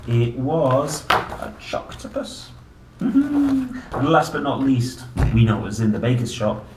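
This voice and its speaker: A man's voice: mid-range voice, sing-song, slow pace. A man reading a picture book aloud to young children in a playful, theatrical way, exclaiming and putting questions to the listener. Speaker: children's book reader and host. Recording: roomy, distant mic, clearly noisy.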